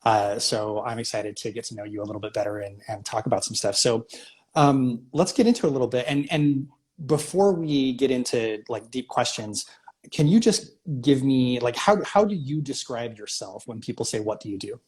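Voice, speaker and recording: A man's voice: medium pitch, animated, moderate pace, a little mellow, breathy edge. A man hosting a live video call interview, eager and hesitant, asking questions with many "uh"s. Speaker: host. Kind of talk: casual interview chat.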